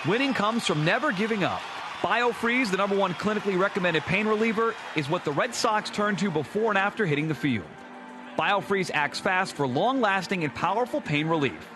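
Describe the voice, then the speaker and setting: A man's voice: sits high, animated, a little fast, over music. A man reading a punchy scripted product commercial. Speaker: commercial announcer.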